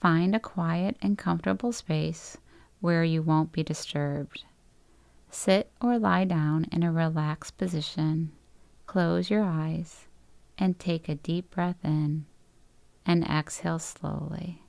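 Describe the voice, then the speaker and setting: A woman guides a meditation in a calm, gentle voice that sits somewhat low. She speaks very slowly, with soft endings and long pauses between phrases. The sound is close and dry, with no room around it. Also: gravelly, no echo.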